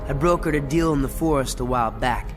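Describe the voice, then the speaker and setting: A man playing a prickly, streetwise teenage boy in an animated game cutscene, blunt and sarcastic in manner. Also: medium pitch, a little fast, natural voice. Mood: dry, cocky. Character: cocky, prickly teenage boy, streetwise former bad guy. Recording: music behind the voice.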